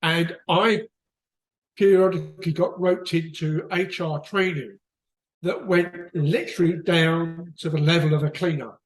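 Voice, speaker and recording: A man's voice: fairly high pitch, fairly even intonation, unhurried, echoey room, rough recording. A man from the UK with a British accent, chatting casually in a book club discussion, rambling and often restarting his sentences. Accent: British English.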